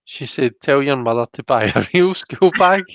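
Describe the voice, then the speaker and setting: A man's voice: medium pitch, lively intonation, moderate pace, no room sound. Scottish man, casual tarot host, hesitant, chuckly, dry close sound, slightly dull lo-fi audio. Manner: chatty, hesitant, often chuckling. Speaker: host of a live tarot reading. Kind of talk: casual live-stream chat, reading out viewer comments.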